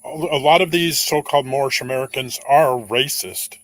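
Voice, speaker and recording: A man's voice: medium pitch, normal speed, fairly bright tone. A man hosting a casual chat, mostly giving brief agreeing replies.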